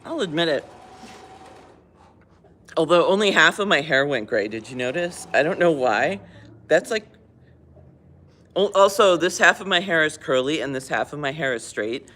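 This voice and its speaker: Chatty, self-mocking older woman with a bright voice. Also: deep voice, lively intonation, normal speed, long pauses. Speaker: livestream host. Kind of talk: casual chat. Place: public library.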